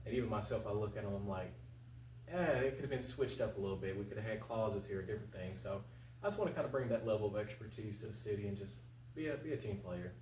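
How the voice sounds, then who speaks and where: A man's voice: fairly low pitch, expressive, fast, darkish tone. A man speaking informally as an interview guest and political candidate, earnest and plain-spoken. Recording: echoey, distant mic with faint noise.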